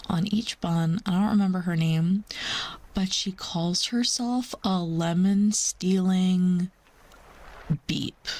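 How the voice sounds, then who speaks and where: A woman's voice: fairly low pitch, a little mellow. Chatty woman streamer rambling casually. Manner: chatty, rambling, calls herself Mama. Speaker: livestreamer. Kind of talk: casual livestream chat with viewers.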